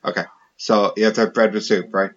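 A man's voice: on the low side, fairly even intonation, fast, lo-fi sound. A man hosting a podcast in casual chat, joking and rambling as he talks. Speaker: podcast host.

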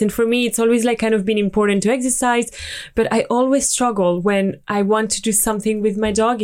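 Relaxed woman with a French accent. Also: medium pitch. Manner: relaxed, hesitant, with many fillers. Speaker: guest, pet grooming business founder. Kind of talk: casual interview chat.

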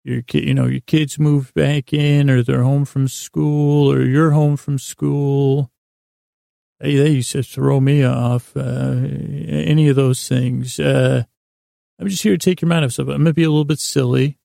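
Male podcast host, heavy vocal fry and relaxed tone, delivering a meandering, self-interrupting bedtime monologue. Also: medium pitch, dry recording, clean studio sound. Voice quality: gravelly, creaky. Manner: meandering, halting, self-interrupting, gently silly.

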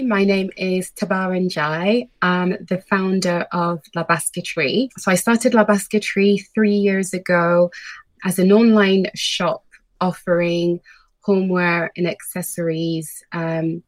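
A woman's voice: lowish voice, no room sound. A woman in her thirties who grew up in France, a guest in a casual interview, telling her story with frequent ums. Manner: friendly, storytelling with many ums. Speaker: guest, brand founder.

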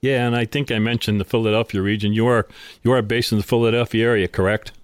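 A man hosting a business interview in an informal, conversational way, sometimes pausing to rephrase himself. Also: on the low side, fairly even intonation, smooth, no echo, studio quality. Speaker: interview host.

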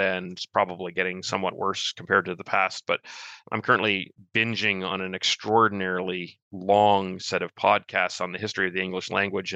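A man with a Canadian accent co-hosting a podcast, speaking in a quick, wry, matter-of-fact way. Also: low voice, creaky, pushed and bright, no room sound. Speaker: podcast co-host. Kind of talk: conversational podcast discussion.

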